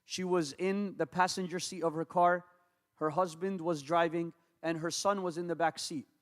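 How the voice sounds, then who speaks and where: A man born and raised in America, an imam preaching in a mosque, with rapid Arabic invocations and earnest English. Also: fairly high pitch, steady tone. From United States. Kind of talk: mosque talk with Arabic recitation. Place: large mosque hall. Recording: long hall reverb.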